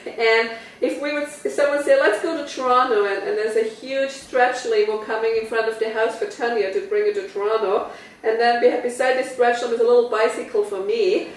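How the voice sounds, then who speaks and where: A woman's voice: a little high, brisk. A woman with an Austrian accent leads a casual product talk in a private living room. She explains step by step and often turns to her audience with questions. The sound is roomy and echoey, and she is caught from some distance away. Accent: Austrian German. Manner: chatty and explanatory, asks listeners questions. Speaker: product demonstrator. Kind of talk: home party product talk.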